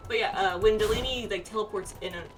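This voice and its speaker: A woman streaming a game with casual, animated, playful commentary among friends. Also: medium pitch, quick pace. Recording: faint background noise.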